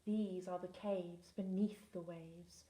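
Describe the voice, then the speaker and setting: A woman's voice: on the low side, fairly even intonation, slow, mellow. A woman teaching assistant reading a rhyming picture book aloud with a lilting rhythm. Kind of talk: storybook read-aloud. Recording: echoey room.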